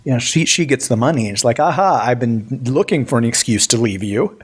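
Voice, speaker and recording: A man co-hosting a casual podcast, telling stories in a lively way with lots of restarts. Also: mid-range voice, brisk, breathy edge. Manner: animated storyteller, many restarts. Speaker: podcast co-host. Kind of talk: casual chat.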